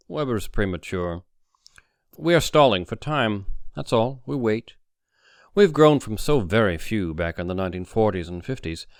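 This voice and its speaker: A man voicing a calm, smooth-talking conspiracy leader in an audiobook story, in a measured murmur. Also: lowish voice, no room sound, studio quality.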